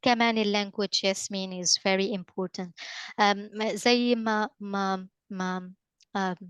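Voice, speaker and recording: A woman's voice: medium pitch, steady tone, moderate pace, fairly bright tone, clear, resonant tone, no room sound. A young woman from northern Morocco, a native Arabic speaker with a Moroccan accent, answering hesitantly on a webinar video call with many fillers. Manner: hesitant, many fillers and restarts, friendly advice tone. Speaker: guest speaker from a mapping company. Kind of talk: webinar answer, mixing languages mid-sentence.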